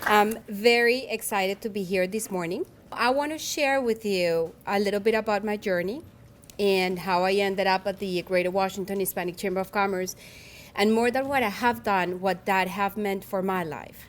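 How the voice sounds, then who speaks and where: A woman's voice: medium pitch, fairly even intonation, normal speed. Earnest Colombian-accented woman in her forties. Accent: Colombian Spanish. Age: 40s. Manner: earnest, reflective, restarts phrases often. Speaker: keynote speaker. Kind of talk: personal speech at a luncheon.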